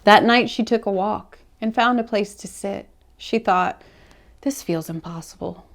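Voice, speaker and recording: A woman with a mid-pitched voice reads a children's picture book in verse. She shapes each line in an expressive, deliberate way, as a library story-time host. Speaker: children's read-aloud host at a public library. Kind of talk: storybook read-aloud for children, rhyming verse.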